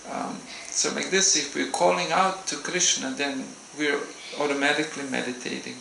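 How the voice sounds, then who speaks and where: A man giving a gentle, unhurried devotional talk in a temple, pausing often as he answers questions. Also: fairly high pitch, steady tone, vocal fry, slight background noise. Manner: gentle, unhurried, with frequent hesitations. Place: temple hall. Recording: roomy hall echo.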